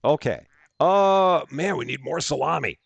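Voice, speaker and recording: A man's voice: a little high, animated, measured pace, vocal fry, slightly rough, no room sound, muffled recording. A middle-aged man from the Chicago area with a general American accent, hosting a live gaming stream and greeting viewers by name in upbeat, casual chat full of fillers and restarts. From Chicago area, USA.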